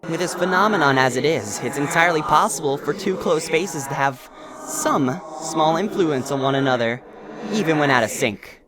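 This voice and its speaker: A performer acts out a bitter spirit in a dramatic game dub. It talks down to the listener with formal, menacing scorn and deep distrust. The recording has clear noise behind it. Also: expressive, normal speed, close to an everyday voice. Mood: cryptic, condescending. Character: bitter hostile ghost, taunting, distrustful of teachers.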